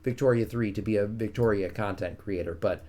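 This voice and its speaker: A man's voice: fairly low pitch, fairly quick, mellow. A man with a soothing voice streaming a strategy game, casually chatting with his viewers and joking at his own expense. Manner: chatty, self-mocking, reads and answers chat.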